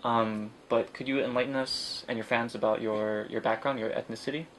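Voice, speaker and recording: A young man in his twenties hosts a casual interview from a small room. His voice is mid-pitched, and his questions keep turning into teasing jokes. A faint ambient hum runs underneath. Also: steady tone, fairly quick, fairly bright tone. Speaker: interview host. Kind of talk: casual chat. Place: small indoor room with computers.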